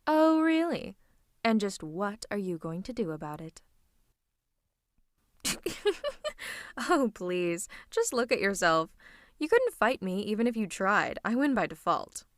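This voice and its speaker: A woman's voice: medium pitch, big pitch swings, slow pace, long pauses, no echo, clean studio sound. A woman playing a bossy, teasing villain who is secretly fond of her hero nemesis, in a dramatic ASMR roleplay. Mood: smug, teasing. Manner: dramatic, teasing, mock-haughty, fussing.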